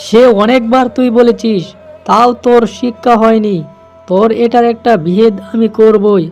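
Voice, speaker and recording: Guy doing a cartoon fox dad, high voice, stern n fretful, music under him. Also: fairly even intonation, normal speed, darkish tone. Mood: angry, scolding. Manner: scolding, lamenting. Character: stern, fretful fox father, proud of family honour. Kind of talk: cartoon voice acting.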